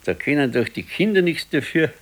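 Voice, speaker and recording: A man's voice: on the high side, big pitch swings, a little fast. An actor playing a gloomy, ailing pensioner in his sixties in a radio play, talking plainly and colloquially with a Bavarian accent. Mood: brooding. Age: 60s. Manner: plain, colloquial, brooding complaint. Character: gloomy ailing old pensioner husband, fearful and brooding. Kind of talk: naturalistic radio play dialogue.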